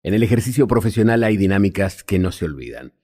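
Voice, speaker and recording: Mellow man narrating, lively intonation. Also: low voice, fast. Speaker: podcast narrator and host. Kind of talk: scripted documentary narration.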